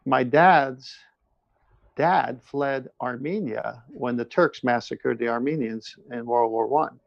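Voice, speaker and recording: A man's voice: medium pitch, takes it slowly, creaky, no echo, muffled recording. A man talking casually and at length, earnest and emphatic, often repeating his phrases. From born in Iran, moved to the United States at seven. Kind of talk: casual conversation, personal testimony and opinion.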